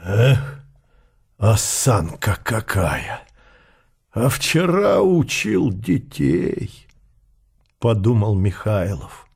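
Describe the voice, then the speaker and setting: A man reading literary narration in an unhurried, characterful storytelling manner. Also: fairly low pitch, big pitch swings, creaky, a little mellow, husky. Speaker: narrator of an audio drama.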